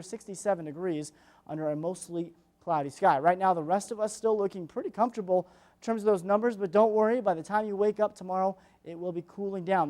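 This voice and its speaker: An American woman delivers a television weather forecast in a chatty, explanatory way. Her voice sits a little low for a woman and has a soft, mellow, unforced tone. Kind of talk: live weather forecast presentation.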